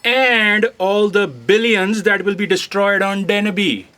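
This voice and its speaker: An actor playing a literal-minded, conscientious assistant who objects politely, in a measured way, in a comedic sci-fi audio drama. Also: mid-range voice, sharp tone. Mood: puzzled concern.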